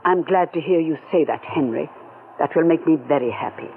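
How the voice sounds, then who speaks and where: A man's voice: on the high side, a little fast, pitched above the performer's own voice, close to an everyday voice. A man voicing an elderly aunt, fond and trusting, in a radio drama. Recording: tinny old radio broadcast.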